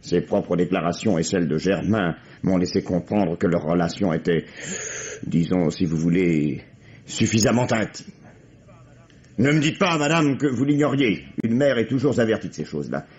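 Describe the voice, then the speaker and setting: Police commissioner: very low voice, shrewd, formal, world-weary, deliberate pauses, old French radio drama with muffled sound. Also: male voice, expressive, a little mellow. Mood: insistent. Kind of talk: vintage French radio mystery acting. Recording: dull, band-limited old radio recording.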